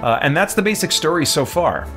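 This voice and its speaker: A man's voice: a little high, expressive, brisk, loud music behind the voice. A man giving casual let's-play commentary as a gaming host, rambling and thinking aloud as he goes. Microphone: microphone with a fan nearby.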